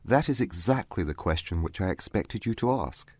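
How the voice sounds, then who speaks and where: An actor playing a proud, aloof young gentleman in a dramatized audiobook, measured and wry. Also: sits low, animated, a little hiss or hum. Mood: cool, wry.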